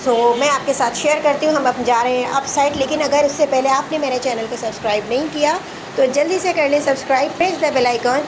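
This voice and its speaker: High-voiced woman touring a mall. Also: a little fast, background noise. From Pakistan. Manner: chatty, mixes English phrases into talk. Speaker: vlogger host. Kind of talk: walking mall tour vlog. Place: large indoor shopping mall.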